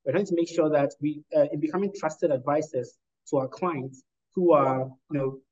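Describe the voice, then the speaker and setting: An articulate man from Ghana talks through his career as an interview guest, in a fairly high voice. He speaks analytically with occasional fillers. The audio sounds slightly muffled. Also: fairly even intonation, moderate pace, a little mellow. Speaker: guest, lawyer and entrepreneur.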